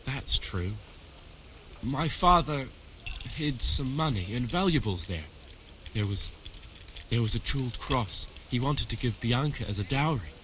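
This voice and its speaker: A man's voice: medium pitch, lively intonation, unhurried, long pauses, fairly bright tone, a little hiss or hum. A simple village fellow who has lost nearly everything, for a video game. His earnest voice rises and falls widely over faint outdoor ambience, with a humble, grateful temper. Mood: wary, confiding. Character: robbed, grieving village man, simple and grateful. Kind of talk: video game dialogue acting.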